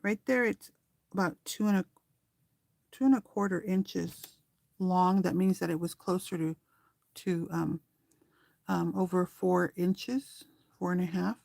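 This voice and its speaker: A woman's voice: fairly low pitch, steady tone, unhurried, creaky, pauses often, a little mellow, no echo. A woman hosting a craft tutorial in a casual, rambling, conversational way, thinking aloud as she works with her hands. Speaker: craft tutorial host and small online shop seller.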